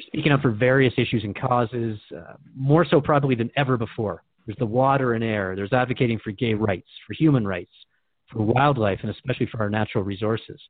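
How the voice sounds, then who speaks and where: A man's voice: medium pitch, no echo, phone-quality sound. A man hosting a radio dialogue, speaking in an earnest, reflective and questioning way, like an interviewer in a scripted exchange. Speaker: radio host.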